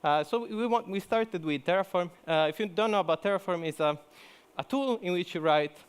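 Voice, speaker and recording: A young man speaks to a conference audience in a relaxed, joking way. His voice sits a little high, and he often restarts his sentences and fills pauses with "uh" and "um". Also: clear, resonant tone. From Italy. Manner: chatty, many fillers and restarts. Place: conference hall.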